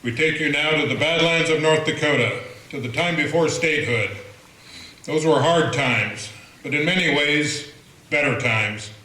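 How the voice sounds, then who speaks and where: A man giving a slow, formal scripted announcement for a radio play. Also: a little high, sharp tone. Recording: echoey room.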